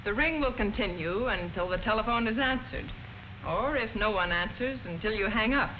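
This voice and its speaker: A prim 1940s telephone instructor , played by a woman, heard through a dull old film soundtrack with some background noise. She explains things patiently, in a formal, measured, didactic way at a medium pitch. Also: expressive, lightly acted. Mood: patient, instructive. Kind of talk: 1940s educational film narration.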